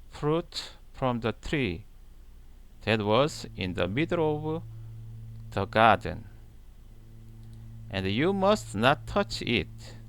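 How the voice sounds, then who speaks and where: A man reading scripture aloud in a deliberate manner, pausing between short, halting phrases. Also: mid-range voice, gravelly, clean tone. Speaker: channel host reading the Bible aloud. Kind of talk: slow scripture read-aloud.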